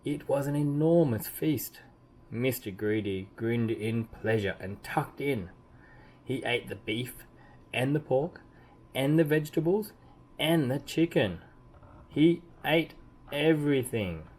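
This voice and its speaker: A man reading a children's story aloud expressively, with deliberate emphasis and drawn-out key words. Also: medium pitch, lively intonation, darkish tone. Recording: clearly audible background noise.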